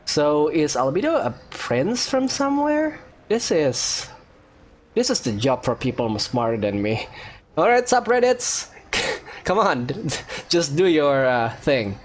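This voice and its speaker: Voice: medium pitch, big pitch swings, slow pace, a little hiss or hum. A gamer giving casual, reactive commentary on a playthrough, musing aloud in a hesitant way. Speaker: video game player commenting on a playthrough.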